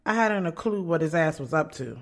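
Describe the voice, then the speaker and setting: A woman's voice: low-pitched, expressive, a little fast. An actress with an American accent playing a blunt, sarcastic young woman writer, narrating her love life in a slangy, conversational audio drama style. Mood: wry, candid. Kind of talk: first-person scripted narration in an audio drama. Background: music under the voice.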